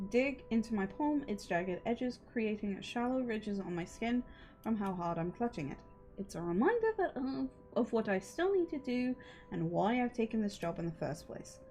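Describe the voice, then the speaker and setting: A woman streams herself reading a visual novel over faint music. Her voice is fairly high and swings widely in pitch. She moves between narration and casual, cheeky, swearing chat. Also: a little mellow. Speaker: livestreamer, VTuber. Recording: music behind the voice.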